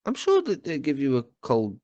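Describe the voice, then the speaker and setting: A man's voice: medium pitch, huge pitch swings, moderate pace, dark tone, no room sound, dull audio. Rambling man with a cold. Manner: rambling, swears, stumbles and repeats words. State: has a cold. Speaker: podcast co-host. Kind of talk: casual chat.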